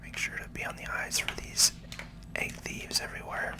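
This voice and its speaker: Casting: ASMR game host, fully whispered delivery, casual explanatory commentary on gameplay. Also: moderate pace. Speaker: host, gaming video creator.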